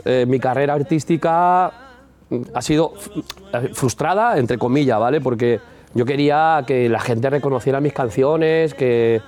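Male singer from Spain, warm dark tone, rambling and reflective. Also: mid-range voice. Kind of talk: interview answers.

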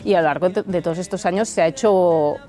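A woman's voice: on the low side, quick pace, dark tone. A woman interviewing musicians, asking short questions and often answering with brief acknowledgements. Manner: attentive, frequent short backchannels. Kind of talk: local TV interview.

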